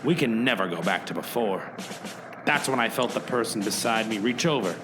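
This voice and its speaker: A man's voice: lowish voice, lively intonation, normal speed, background noise, close to an everyday voice. A man playing an earnest, overwrought hero who narrates a radio play. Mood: solemn, earnest. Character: earnest, dramatic young hero and narrator, easily exasperated.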